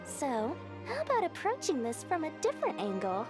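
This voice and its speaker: A woman's voice: high voice, wildly swooping pitch, moderate pace, heavily acted. An actress playing a scheming, greedy merchant woman with a wheedling sales patter, for a video game. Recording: game music behind the voice.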